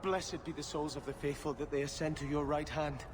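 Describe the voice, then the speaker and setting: A man's voice: on the high side, level tone, a little fast, noisy background, close to an everyday voice. An actor playing a devout, anguished and vengeful nobleman in a fantasy game, with an impassioned, formal delivery. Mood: anguished grief. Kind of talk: dramatic fantasy game acting.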